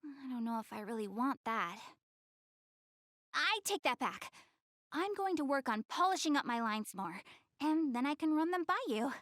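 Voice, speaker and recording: A woman playing a proud, self-conscious young goddess girl in an anime-style game, expressive, easily flustered and earnest. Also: sits high, moderate pace, dry recording, clean studio sound. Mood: earnest, determined.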